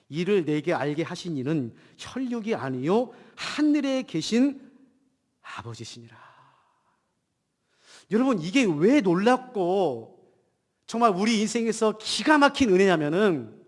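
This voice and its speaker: High, animated male preacher in echoing hall. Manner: emphatic, swinging between loud declarations and hushed asides; rhetorical questions to the congregation. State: has a cold. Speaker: pastor preaching a Sunday sermon. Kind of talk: Protestant church sermon. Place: church hall during a service. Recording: long hall reverb in church.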